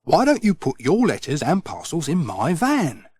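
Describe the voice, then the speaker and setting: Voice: mid-range voice, wildly swooping pitch, moderate pace, darkish tone, slightly rough, dry recording, voice pushed up. A storyteller voicing a friendly, helpful man who runs a travelling shop. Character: friendly helpful male van shopkeeper.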